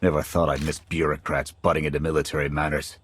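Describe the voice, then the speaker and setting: A man's voice: extremely low pitch, flat delivery, quick pace, a bit bright, breathy edge, full character voice. An actor playing a jaded male military commander in a video game, talking in terse, clipped soldier phrases. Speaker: squad commander. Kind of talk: video game cutscene acting.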